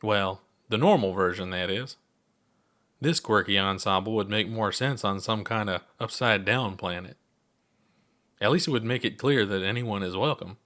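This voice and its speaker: A man's voice: on the low side, moderate pace, a bit bright. A man narrating his own scripted story as an audiobook-style reading. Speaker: author and narrator.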